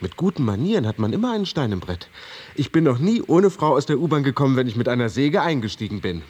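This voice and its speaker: A condemned man in a radio play, a self-styled ladies' man. His voice is husky and swings widely in pitch. He speaks in polite, elaborate phrases, selling his schemes with earnest boastfulness. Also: big pitch swings, fast, darkish tone. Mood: earnest, boastful, persuasive. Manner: formal, elaborate phrasing delivered with earnest salesmanship. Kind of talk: German radio drama acting.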